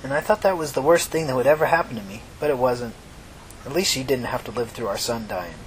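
A man's voice: medium pitch, fast talker, a little hiss or hum, lightly acted, low-quality recording. A man voicing a kindly, talkative old mother from New York in a story reading, folksy, reflective and reassuring. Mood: sad, reflective. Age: older.

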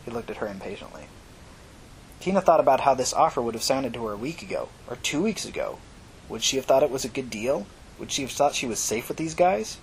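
A man's voice: lively intonation, a little fast. A man narrating dramatic fiction as an audiobook reader. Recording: noisy recording with low rumble.

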